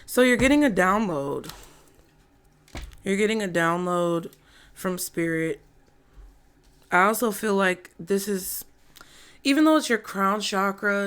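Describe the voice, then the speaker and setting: A hoarse woman giving a casual, chatty tarot reading to her viewers. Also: mid-range voice, a bit bright. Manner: slow, chatty, laughs often. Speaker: tarot reader.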